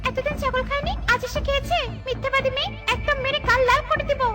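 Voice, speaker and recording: A cruel, haughty cartoon peahen , played by a woman with a shrill, very high voice. She scolds in quick, contemptuous bursts, over clear background music. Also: fairly even intonation. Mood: accusing. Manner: sharp, rapid, contemptuous scolding. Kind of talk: Bengali fairy-tale cartoon dubbing. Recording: music bed behind the voice.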